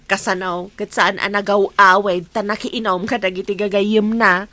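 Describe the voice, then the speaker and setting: A woman's voice: mid-range voice, level tone, measured pace, bright, forceful tone. A woman playing a matter-of-fact, teasing neighbour in an Ilocano Filipino comedy drama. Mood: amused, matter-of-fact.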